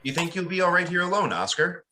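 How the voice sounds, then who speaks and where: A man's voice: high-pitched, very animated, fast, very noisy. A man playing an earnest, naive young reverend in an amateur radio drama, awkward and questioning. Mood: concerned.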